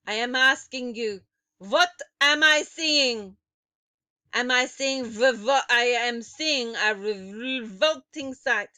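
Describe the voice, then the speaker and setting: A woman's voice: fairly high pitch, takes it slowly, pushed and bright, no echo. A woman voicing a furious, ancient female witch queen who screams and spits with rage. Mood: screaming rage. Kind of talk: audiobook character voice.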